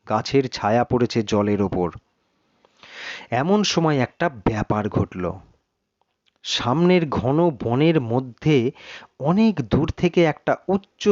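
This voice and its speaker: A man reading a suspense story in a measured, literary, dramatic narration. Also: medium pitch, sing-song, darkish tone, no room sound, dull audio.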